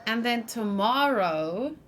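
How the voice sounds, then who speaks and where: High-voiced woman chatting through a game. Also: animated, takes it slowly, slight background noise. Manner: chatty, musing aloud. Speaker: gaming streamer. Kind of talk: casual let's play commentary.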